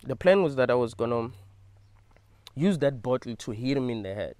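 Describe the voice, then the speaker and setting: A man's voice: mid-range voice, normal speed, no room sound. Emphatic man telling a story. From South Africa. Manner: animated, emphatic, swears freely. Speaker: vlogger. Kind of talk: casual storytelling to camera.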